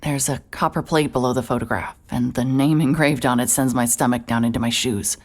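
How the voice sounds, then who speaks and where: Very low-voiced woman narrating horror. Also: fairly even intonation, a little fast. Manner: tense, breathless, with sudden shouts and hushed whispers. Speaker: narrator and lead character. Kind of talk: first-person horror audio drama narration.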